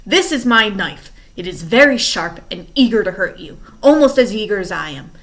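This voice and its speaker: A woman's voice: mid-range voice, lively intonation, normal speed, a bit bright. A woman reading aloud and voicing a scheming, menacing male villain who mocks the children. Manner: mocking, menacing.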